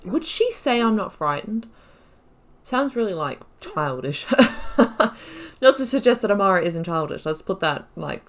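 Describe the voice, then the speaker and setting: A woman hosting a playthrough, thinking aloud in casual, chatty and reflective gameplay commentary. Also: sits low. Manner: chatty, reflective, often laughing at own mistakes.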